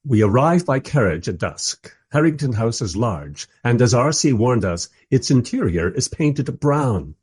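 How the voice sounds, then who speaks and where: An actor playing a bemused, wry filmmaker who narrates and interviews in a scripted radio drama. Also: male voice, mid-range voice, sing-song, unhurried, hardly any pauses, darkish tone, husky. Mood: wry, narrating.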